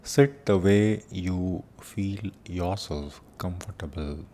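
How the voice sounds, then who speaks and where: A man leading a guided meditation as teacher, giving soft-spoken instructions with long pauses. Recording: noisy with low rumble.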